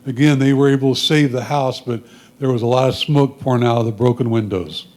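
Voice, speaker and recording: A man's voice: medium pitch, fairly even intonation, fairly quick, a little mellow. An American man gives matter-of-fact, semi-scripted commentary on his photographs, explaining them as he goes. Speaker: club photographer presenting own photos.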